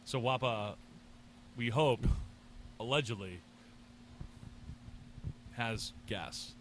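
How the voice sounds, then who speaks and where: Excitable bright-voiced man, stammering restarts. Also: medium pitch, very slow, a little hiss or hum. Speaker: podcast co-host. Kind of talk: casual sports chat. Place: sports bar.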